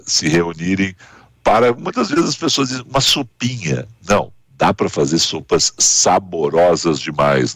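A man in his thirties with a Gaúcho accent hosting a radio interview, chatty and animated, full of tag questions. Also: a bass voice, dry recording. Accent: Gaúcho Portuguese. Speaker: radio host. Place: radio studio. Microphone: studio mic with headphones.

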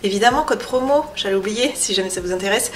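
A woman's voice: medium pitch, quick pace, faint music behind. A woman in her forties talking casually to camera in a vlog, chatty and a bit exasperated, the camera held at arm's length. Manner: chatty, exasperated, self-mocking. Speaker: vlogger. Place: apartment indoors, also outdoors in the street. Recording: echoey room, faint background noise.

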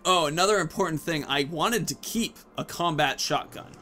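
Higher-voiced man doing casual game commentary. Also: moderate pace, pushed and bright, slight background noise. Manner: thinking aloud, explaining choices. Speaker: gaming video host. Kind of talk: casual let's play commentary. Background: game music.

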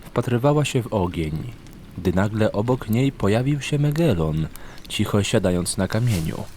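A man's voice: lowish voice, animated, moderate pace, a little mellow, slight background noise. A man narrating a scripted fantasy story as an audiobook narrator. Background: background music.

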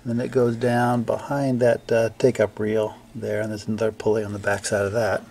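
An older man casually narrating a hands-on repair, thinking aloud in a halting, unscripted way. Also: fairly low pitch, completely flat delivery, gravelly, darkish tone. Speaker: hobbyist restorer presenting a repair video. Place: indoor basement-like home workshop.

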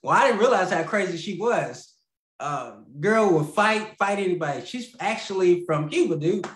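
A man's voice: sits high, animated, normal speed, fairly bright tone, slight background noise. Rambling man on a roomy video call. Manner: rambling, laughs mid-sentence, many fillers and restarts. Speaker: guest. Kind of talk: casual storytelling. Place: home room.

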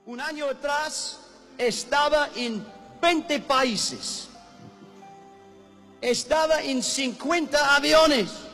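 A man preaching as a guest evangelist on a hall stage with a handheld mic, shouting and calling for responses from the crowd. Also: very high-pitched, drawn-out words, long pauses. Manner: shouting, calls for audience responses. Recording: hall reverb with music.

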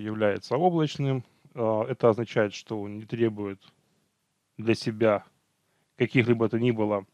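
A man's voice: mid-range voice, no echo. A man teaching an online technical training over slides, talking unhurriedly, with hesitations and self-corrections.

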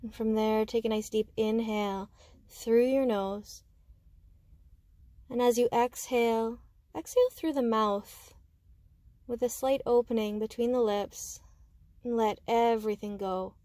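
A woman guiding a meditation with slow, soothing instruction, speaking gently and unhurriedly in short phrases with pauses. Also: on the high side, fairly even intonation, no room sound. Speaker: meditation guide.

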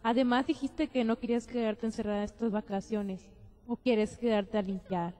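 Woman as a mellow-voiced firm anime mother. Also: fairly high pitch, fairly even intonation, moderate pace, long reverb tail, lightly acted. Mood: coaxing. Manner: scolding, coaxing. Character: firm, busy middle-aged mother. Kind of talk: anime fan dub acting.

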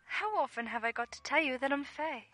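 A woman's voice: high voice, lively intonation, fairly quick, sharp tone, no echo. An actress playing an eerie, insistent woman on a call in a radio play, plaintive and pressing. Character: eerie, insistent young woman calling from a station.

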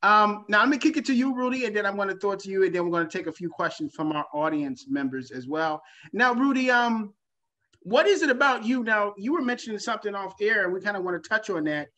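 A man's voice: high voice, fast talker, bright, forceful tone. Upbeat male talk show host, lo-fi. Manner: upbeat, laughing, showman-like introductions. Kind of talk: online talk show hosting. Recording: dull lo-fi video call audio.

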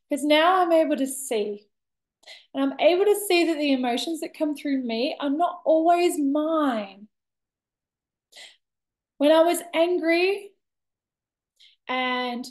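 A woman in her thirties giving a candid, reflective personal monologue to camera, expressive, with deliberate pauses and dramatic emphasis. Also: high-pitched. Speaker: vlogger sharing a personal story. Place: bright indoor room with large windows. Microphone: laptop webcam at desk distance.

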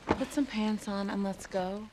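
A woman's voice: medium pitch, a little slow, darkish tone, a little hiss or hum, lightly acted, poor audio. A woman acting a bold, teasing teenage rebel in a video game, with an American accent and a casual, coaxing way of talking.

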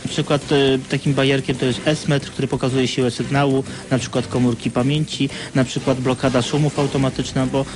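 A man's voice: mid-range voice, flat delivery, brisk, few pauses. A man explaining equipment as an expert interviewee, in a matter-of-fact, technical way. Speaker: radio communications shop employee, expert interviewee. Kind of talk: informative product explanation.

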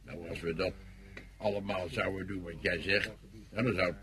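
Sarcastic front line soldier, lowish voice, needles people, radio drama. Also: male voice, fairly even intonation, moderate pace, pushed and bright, a little hiss or hum. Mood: sardonic. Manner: dry, sarcastic. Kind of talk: Dutch radio drama acting.

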